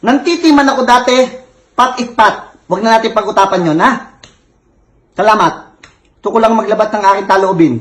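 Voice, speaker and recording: Man, high-pitched, heavy lisp, angry comic rant, repetitive complaining. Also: fairly quick, long pauses, fairly bright tone. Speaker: self-styled actor on social media.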